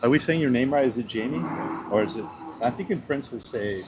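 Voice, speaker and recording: A man co-hosting a live travel vlog, talking in a relaxed, rambling way full of fillers. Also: mid-range voice, fast talker, background noise. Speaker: vlog co-host. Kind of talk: casual live-stream chat. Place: restaurant at breakfast with crowd around. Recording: busy crowd chatter behind.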